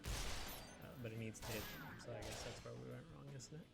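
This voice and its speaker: A man's voice: mid-range voice, fairly even intonation, normal speed, gravelly. A young man with a congested, scratchy throat, rambling through casual gameplay commentary with lots of fillers and occasional coughs. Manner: rambling, hesitant with many fillers. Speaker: let's play host. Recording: game music behind voice.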